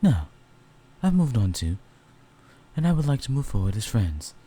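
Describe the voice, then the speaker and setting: A man's voice: medium pitch, very animated, moderate pace, dark tone, slightly rough, voice pushed up. A man voicing a teasing, competitive male werewolf in an audio roleplay, talking casually and bluntly to a partner. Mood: sincere.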